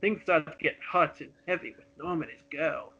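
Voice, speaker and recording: Bright, high-voiced man, dull lo-fi recording. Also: normal speed, vocal fry, breathy edge. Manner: giggly, breaks off to joke and improvise. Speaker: co-host reading a comedy radio play script. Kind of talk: casual script read-through with banter.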